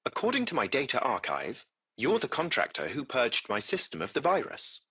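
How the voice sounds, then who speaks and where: A man's voice: mid-range voice, huge pitch swings, moderate pace, gravelly, bright, forceful tone, breathy edge, exaggerated voice. A man voicing a courteous, composed artificial intelligence that runs a taxi fleet, speaking in formal, precise, butler-like phrases for a video game. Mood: composed, informative. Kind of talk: scripted video game voice acting.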